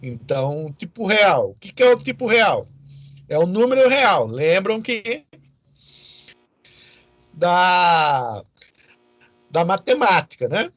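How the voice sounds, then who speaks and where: A man's voice: high-pitched, big pitch swings, slow, pauses often, fairly bright tone, no echo. A Brazilian man giving an informal, didactic lecture as a programming teacher, often checking in with short questions to his students.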